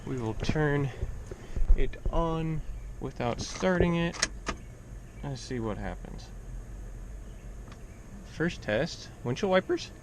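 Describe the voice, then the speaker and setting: A man's voice: fairly high pitch, animated, slow, pauses often. A man casually narrating his own hands-on repair vlog in a plain-spoken, wry way. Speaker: DIY truck repair vlogger. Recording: background noise of vehicle and hum.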